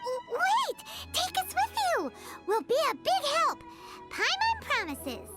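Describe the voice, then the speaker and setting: A woman voicing a small, excitable, childlike fairy companion in an anime video game, cute, eager and exclamatory. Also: very high voice, slow, exaggerated voice. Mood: eager pleading. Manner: speaks of herself in third person, exclamatory. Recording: music behind the voice.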